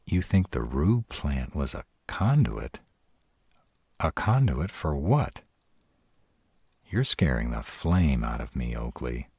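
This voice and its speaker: Casting: male actor as gruff tsundere were-dragon boyfriend, animated swings in pitch, close dry sound, tender and guarded, romantic audio drama. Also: dry recording, heavily acted. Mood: uneasy, cautious. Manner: tsundere, teasing yet caring, hesitant pauses. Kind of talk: romantic fantasy audio roleplay, speaking to the listener.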